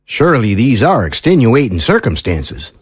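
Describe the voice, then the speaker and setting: A man's voice: mid-range voice, big pitch swings, normal speed, husky, no echo. A man playing a reasonable, protective sheriff in an audio drama, respectful and reasoned in how he talks. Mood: reasoning, firm. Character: fair-minded, protective, reasonable male sheriff. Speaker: voice actor. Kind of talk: scripted audio drama acting.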